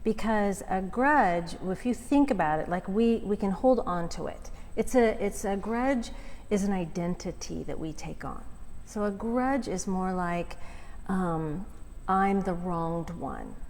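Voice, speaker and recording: A woman's voice: medium pitch, a little slow, darkish tone. Outgoing lady coach explaining stuff in an interview, big echoey hall, some background noise. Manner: outgoing, often checks in with "right?". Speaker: forgiveness coach, interview guest. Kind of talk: conversational explaining.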